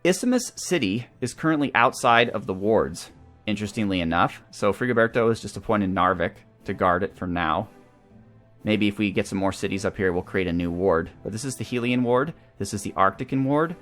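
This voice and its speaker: Low-voiced man commentating a strategy game. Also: expressive, brisk, a bit bright. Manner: talkative, thinking aloud. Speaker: gaming channel host. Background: video game music.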